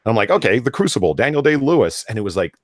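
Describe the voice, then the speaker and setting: A man's voice: mid-range voice, very animated, racing, dry recording. A man co-hosting a live podcast, talking casually and rambling, laughing often. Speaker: podcast co-host. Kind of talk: casual chat.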